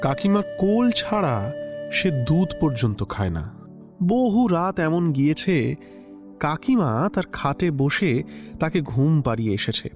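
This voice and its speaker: A man narrating a classic story in a measured, expressive literary style. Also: fairly high pitch. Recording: slightly dull lo-fi recording with soft music.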